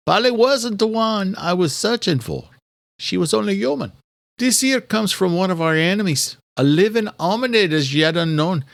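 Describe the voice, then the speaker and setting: A man voicing a gloating, lovesick serial killer in an audiobook, with drawn-out, theatrical menace. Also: vocal fry, no echo, clean studio sound. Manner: drawn-out, theatrical, raised-pitch delivery. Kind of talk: audiobook villain reading a letter.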